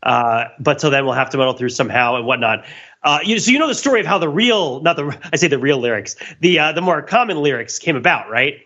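A man's voice: fairly high pitch, fast, pushed and bright. A man co-hosting a casual, comedic podcast, explaining things emphatically and with enthusiasm, on a slightly lo-fi microphone. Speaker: podcast co-host. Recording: slightly dull, lo-fi microphone sound.

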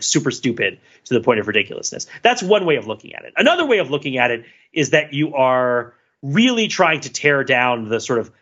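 A man's voice: mid-range voice, fairly bright tone. A man co-hosting a podcast, thinking aloud in a casual analytical chat, full of fillers and restarts. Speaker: podcast co-host. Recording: dull lo-fi podcast audio.

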